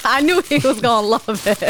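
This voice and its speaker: A woman's voice: high voice, fairly bright tone, a little hiss or hum. A woman hosting a casual food-and-talk podcast, bubbly and slangy, laughing often. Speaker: podcast host. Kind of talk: casual chat.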